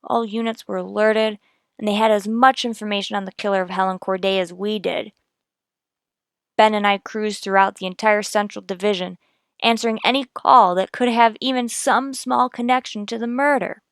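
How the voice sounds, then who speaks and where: An actress plays a dogged female police detective telling her case for a radio procedural. Her voice is smooth and clean, recorded dry. She keeps it terse, businesslike and matter-of-fact. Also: mid-range voice, steady tone, normal speed, no echo, natural voice. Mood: terse, factual. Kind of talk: clipped police-procedural radio drama narration.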